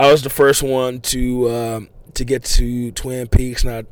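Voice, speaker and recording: A man hosting a casual, unedited podcast monologue, talking in a loose, rambling way full of fillers and restarts, his voice a little hoarse from overuse. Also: mid-range voice, flat delivery, measured pace, a little mellow, dry recording. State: losing his voice, a bit hoarse. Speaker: podcast host.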